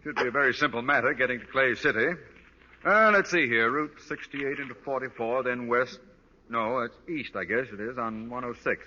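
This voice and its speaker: A man's voice: medium pitch, moderate pace, pushed and bright. An actor playing a pompous, imperious middle-aged principal and father in a 1940s radio sitcom. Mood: officious. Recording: dull vintage radio recording, long reverb.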